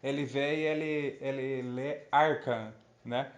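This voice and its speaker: Hesitant, mid-pitched man explaining a book. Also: fairly even intonation, takes it slowly. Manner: hesitant, many fillers and restarts. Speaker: professor and author. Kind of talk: thoughtful conversational explanation.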